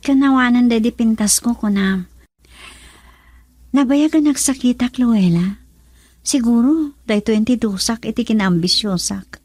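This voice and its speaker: An actress playing an earnest, polite woman in a radio drama, plaintive and deliberate. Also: a little high, darkish tone, no echo. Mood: remorseful. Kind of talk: Ilocano radio drama acting.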